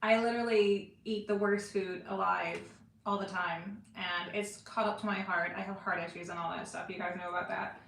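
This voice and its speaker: A woman's voice: medium pitch, fairly even intonation, normal speed, creaky, fairly bright tone, slight background noise. Chatty woman in her forties, echoey room. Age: 40s. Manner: chatty, self-deprecating. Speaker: co-host of a live stream. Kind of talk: casual chat.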